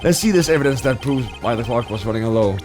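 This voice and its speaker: Elderly game judge, low-pitched, ponderous and halting, acted out by a man. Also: lively intonation, over music, lightly acted. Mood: solemn. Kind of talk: comic amateur video game dramatic reading.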